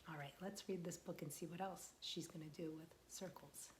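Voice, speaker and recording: A young woman, a children's librarian, reading a picture book aloud to small children, gentle and patient, asking them questions and waiting for their answers. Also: on the low side, steady tone, brisk, background noise.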